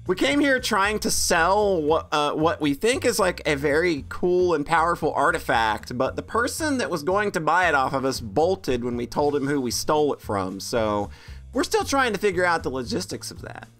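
A woman's voice: low voice, very animated, normal speed, fairly bright tone, faint music behind. A woman streaming a game, chatting with viewers in a self-deprecating joking way. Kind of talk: casual game stream chat.

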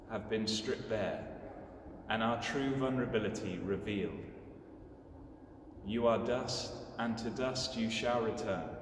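A young clergyman preaches from a script in a measured, solemn way. His voice sits at a middling pitch and comes from a distance in a large, echoing church, with noticeable noise. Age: young adult. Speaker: clergyman giving a homily. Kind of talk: scripted sermon. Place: inside a large stone church.

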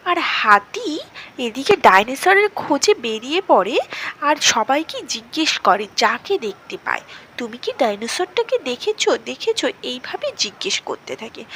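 A woman narrating an animated children's story in a dramatic, expressive storytelling manner. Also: high-pitched. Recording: clearly noisy background.

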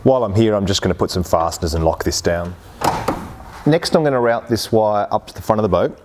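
A man's voice: on the low side, animated, fairly quick, noisy background. A man in his forties giving a how-to demonstration in a plain, practical, step-by-step way. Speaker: host of boat repair channel. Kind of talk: how-to demonstration, explaining steps. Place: workshop garage, indoors.

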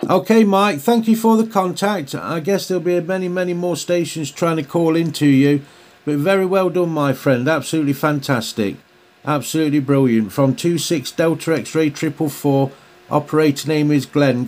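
A man's voice: a little high, normal speed, a little mellow. A man chatting as an amateur radio operator, courteous and using radio procedure phrases. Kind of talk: two-way radio contact chat.

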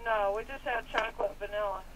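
A male drive-thru worker talks fast in a high voice, politely and matter-of-factly. He sounds far from the mic, through a speaker with faint car noise behind. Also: fairly even intonation. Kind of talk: brief order-taking.

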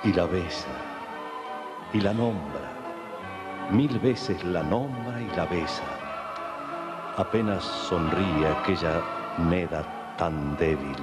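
Radio soap narrator, Argentine man, middle-aged, very deep and dramatic, loud music bed. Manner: solemn, dramatic, measured phrasing. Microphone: desk microphone, close. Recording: archive studio recording under loud music.

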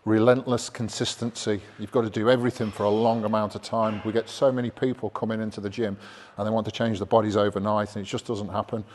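A man in his fifties coaching a workout as a personal trainer in a gym, talking in a calm, steady, instructive way on a lapel mic. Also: on the low side, fast talker, few pauses, slight background noise. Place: indoor gym. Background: background music.

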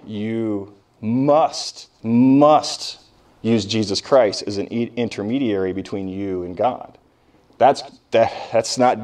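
A man giving an informal classroom lecture, conversational and emphatic, asking rhetorical questions and pausing for weight. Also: mid-range voice, a little mellow. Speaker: history professor lecturing. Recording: distant microphone in live lecture.

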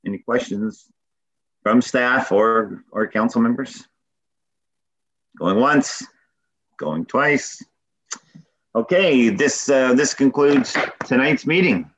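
Casting: a mid-pitched man running a council session, procedural and steady, over muffled call audio. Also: slow, long pauses. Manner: procedural, repeats "going once, going twice". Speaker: deputy mayor chairing a council meeting. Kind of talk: formal meeting chairing. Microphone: video call.

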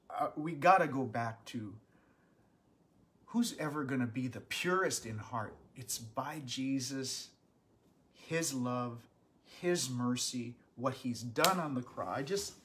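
A man, a pastor teaching a Bible study from home, earnest and animated, with bursts of emphasis. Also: mid-range voice, slight background noise. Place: small living room at home.

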